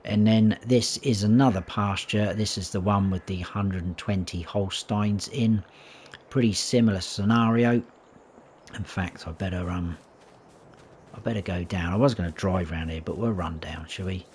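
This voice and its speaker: A fairly low-voiced man with a croaky throat talks viewers through a farming game map. He chats casually and corrects himself as he goes, and there is a faint hum of game ambience behind him. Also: normal speed, darkish tone. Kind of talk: casual gameplay tour commentary.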